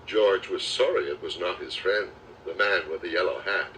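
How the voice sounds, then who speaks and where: A man narrating a children's storybook aloud with expressive, dramatic storytelling delivery. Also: low-pitched, normal speed, slight background noise. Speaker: audiobook narrator. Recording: slightly distant cassette recording with music.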